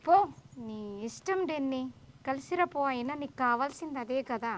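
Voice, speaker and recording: A woman's voice: high-pitched, moderate pace, lightly acted. A woman voicing an exasperated older sister puppet, teasing and scolding in a children's puppet show.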